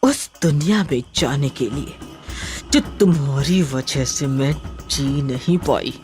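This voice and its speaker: Horror story casting: spiteful wronged female spirit, slow eerie delivery, wildly swinging pitch. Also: lively intonation, darkish tone, faint music behind, exaggerated voice. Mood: cold, bitter. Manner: eerie drawn-out calling with cackles. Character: vengeful, mocking female ghost of a wronged lover. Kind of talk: horror audio story acting.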